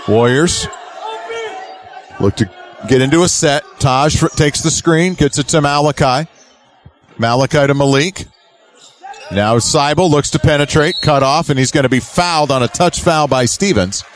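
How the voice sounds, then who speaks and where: Guy doing basketball play by play, voice a bit high, short clipped phrases, punchy calls. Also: moderate pace, long pauses, soft background music. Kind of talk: live sports commentary. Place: courtside at an indoor basketball game.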